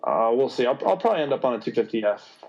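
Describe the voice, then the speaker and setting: A man in his twenties answering interview questions, halting and thoughtful. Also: mid-range voice, lively intonation, crowd noise. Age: 20s. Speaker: guest racer. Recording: dull lo-fi sound.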